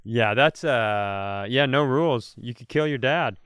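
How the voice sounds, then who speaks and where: A man's voice: medium pitch, a little slow, smooth, dry recording. Clean-voiced man riffing casually, very dry sound. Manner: animated storyteller, acts out imagined quotes, swears casually. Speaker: comedy podcast host. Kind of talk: casual comedic chat, improvised hypothetical riffs.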